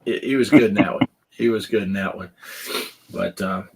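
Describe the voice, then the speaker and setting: A man's voice: fairly low pitch, moderate pace, gravelly, slightly rough. A man in his fifties hosting a casual gaming podcast, joking around and reading chat comments aloud. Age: 50s. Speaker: podcast host.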